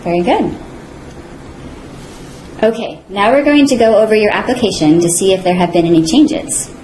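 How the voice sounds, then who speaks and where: A woman's voice: medium pitch, moderate pace, darkish tone, slight background noise. A woman playing an interviewing officer, asking friendly, polite questions. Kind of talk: scripted role-play. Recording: echoey room.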